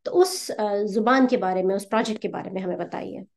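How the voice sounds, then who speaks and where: A woman's voice: medium pitch, brisk, vocal fry, a little mellow. A woman with an Indian accent interviewing a guest, asking questions and adding short affirmations. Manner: attentive, with frequent brief affirmations.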